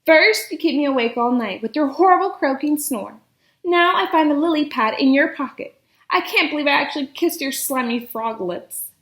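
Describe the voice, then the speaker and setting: A woman's voice: high-pitched, expressive, normal speed, a bit bright, pitched above the performer's own voice. A woman reading a storybook aloud as a fed-up, scolding princess. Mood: exasperated. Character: nagging, fed-up fairy-tale princess.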